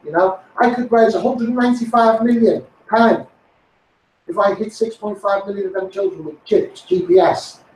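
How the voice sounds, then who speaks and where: A man's voice: high voice, normal speed, darkish tone. A man with a Scouse accent making an insistent, repetitive campaign appeal to live viewers, directly addressing those in power. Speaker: campaigning video host. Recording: echoey, distant from the microphone.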